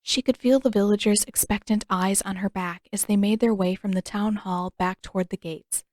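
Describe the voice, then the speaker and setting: A woman's voice: mid-range voice, steady tone, normal speed, darkish tone, no echo. A woman reading a story as scripted audiobook narration, in the manner of an amateur narrator.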